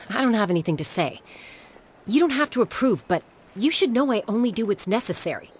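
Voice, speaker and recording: An actress playing a headstrong, unflappable older sister and adventurer in a video game, cool, confident and dismissive. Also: female voice, fairly high pitch, lively intonation, a little fast, slight background noise, natural voice. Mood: defensive.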